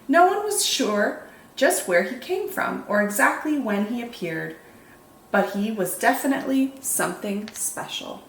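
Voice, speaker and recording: A woman reading picture books aloud as a children's storytime host, expressive and deliberate, with drawn-out dramatic emphasis. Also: fairly high pitch. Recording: echoey room, faint background noise.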